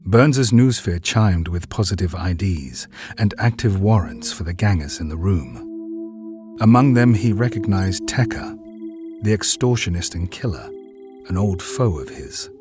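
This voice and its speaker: Deep male voice, dramatic scripted narration, grave, deliberate, faint music underneath. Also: lively intonation.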